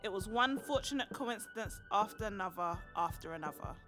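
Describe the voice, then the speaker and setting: A woman's voice: medium pitch, animated, fairly quick, natural voice. An actress playing an awestruck woman helping out in an audio play. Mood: grateful. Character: awestruck female helper at an emergency birth. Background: music.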